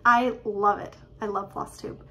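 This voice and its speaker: A woman, chatting excitedly and quickly about her craft projects from her living room, filled with "um"s and little laughs. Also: mid-range voice. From Canada. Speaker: cross-stitch vlogger. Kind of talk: casual show-and-tell chat. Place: living room at home, indoors. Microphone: webcam at desk distance.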